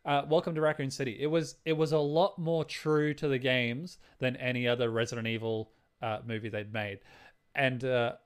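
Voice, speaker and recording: A man's voice: medium pitch, steady tone, normal speed, smooth. A man co-hosting a podcast, chatting casually about films in a blunt, opinionated way. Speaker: podcast co-host. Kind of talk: casual film chat.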